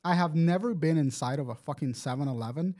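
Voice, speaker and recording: Blunt, slightly high-voiced American man. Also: fast talker, mellow. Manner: blunt, profane, emphatic. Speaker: podcast host. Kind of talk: casual comedic chat.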